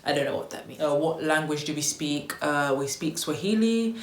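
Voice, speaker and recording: Young woman from Tanzania, casual upbeat vlogger, low-pitched, slight room echo. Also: animated, moderate pace. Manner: chatty and upbeat, loose with fillers like "um" and "you know". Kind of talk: casual vlog chat to camera. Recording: echoey room with faint noise.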